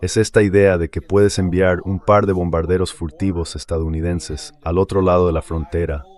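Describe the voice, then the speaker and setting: A man's voice: low voice, little pitch movement, normal speed, darkish tone. A man's synthetic dubbing voice giving conversational political commentary as a guest on a show. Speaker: guest commentator, former intelligence officer.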